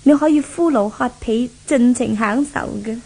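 Casting: wheedling rich fiancée, scheming and possessive, higher-pitched yet soft-toned, Hong Kong Cantonese, vintage radio play. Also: female voice, moderate pace, poor audio. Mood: coaxing. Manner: coaxing, wheedling. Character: spoiled, scheming rich young bride-to-be, coaxing and possessive. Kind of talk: 1970s Cantonese radio drama acting.

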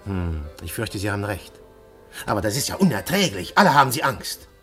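An actor playing an excitable young male poet and detective in a German radio play, dropping to a hush and then bursting out loud. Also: on the low side, fairly quick, faint music behind. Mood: earnest. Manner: swings from hushed intensity to loud outbursts. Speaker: poet turned police detective.

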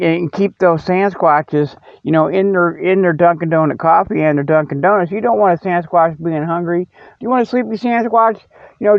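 Male comic host, fairly high voice, folksy and meandering, dry lo-fi sound. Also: dry recording. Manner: folksy, meandering, repeats himself. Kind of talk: rambling, casual mock news monologue. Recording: dull, dry lo-fi audio.

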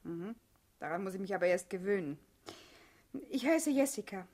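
A woman's voice: mid-range voice, animated, normal speed, darkish tone, lightly acted. Woman acting a poised young wife in a radio play, mid voice, dry and a bit teasing. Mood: coolly teasing. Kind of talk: German radio drama acting.

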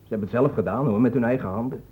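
A defiant young man acted by a man in a radio drama, sullen and dismissive toward authority. His mid-pitched voice is very rough and breathy, on a dull, dated recording. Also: very fast, lightly acted. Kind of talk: Dutch radio play acting. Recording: muffled old radio play recording.

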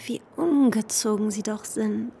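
Breathy, teasing young woman. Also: medium pitch, measured pace, mellow. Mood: coy, teasing. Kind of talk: literary radio drama acting.

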